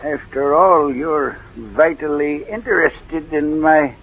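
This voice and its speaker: An actor playing a sly, ailing old uncle in 1940s radio drama, deliberate and needling. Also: male voice, fairly high pitch. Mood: sardonic. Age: older. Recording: muffled old radio recording, narrow band.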